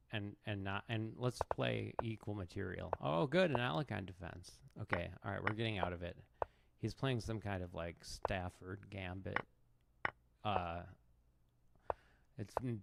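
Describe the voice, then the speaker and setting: Gravelly low-voiced male chess streamer. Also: very noisy. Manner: self-mocking, rambling, restarts mid-thought. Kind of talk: casual live commentary while playing.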